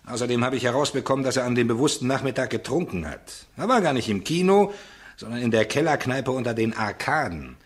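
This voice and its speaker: A self-assured police inspector played by a man in a radio crime play. He talks in a very animated, middle-pitched voice, blunt and condescending. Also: quick pace, lightly acted. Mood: dismissive, smug. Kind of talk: German radio crime drama acting.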